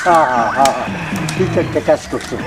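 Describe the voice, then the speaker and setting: Actor playing a scheming gang boss, swooping voice, loud music. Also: mid-range voice, lively intonation, fast, a loud crowd, close to an everyday voice. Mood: gloating, scheming. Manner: bossy with underlings, grovelling when cornered. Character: scheming, greedy male boss of a begging gang. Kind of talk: amateur Bengali short-film acting. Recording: loud music over the dialogue.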